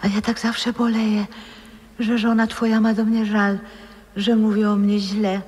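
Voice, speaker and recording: An actress playing a frail old former opera singer, adoring and wistful, in poetic 1960s radio drama style. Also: female voice, medium pitch, little pitch movement, unhurried. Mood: tender longing. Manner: poetic, theatrical, hushed and breathy. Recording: old radio recording with long reverb.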